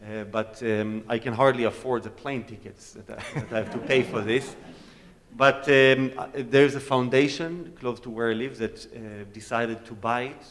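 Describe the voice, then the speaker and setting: A man's voice: mid-range voice, fairly even intonation, moderate pace. Brief: male cello soloist, Hebrew native, easygoing joking stage talk, long hall reverb. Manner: chatty, joking storyteller. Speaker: guest soloist cellist. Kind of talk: informal talk and audience Q&A on stage.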